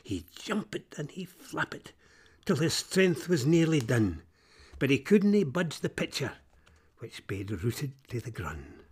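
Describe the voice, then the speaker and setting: A Scottish man narrating fables in Scots verse, theatrical and expressive, moving between hushed drops and emphatic swells. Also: medium pitch, takes it slowly, breathy edge.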